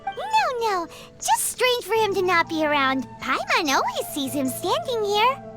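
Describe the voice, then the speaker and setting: A woman voicing a tiny, excitable, childlike floating fairy companion in a video game, squeaky and bubbly. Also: measured pace, exaggerated voice. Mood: puzzled. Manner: bubbly, refers to self by name. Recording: game music behind the voice.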